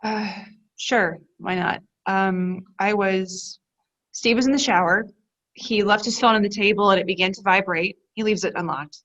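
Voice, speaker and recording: A woman's voice: mid-range voice, a bit bright, dull audio, natural voice. Woman playing a shaken, distraught young woman. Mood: halting. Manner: emotional, halting, self-blaming. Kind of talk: stage play reading.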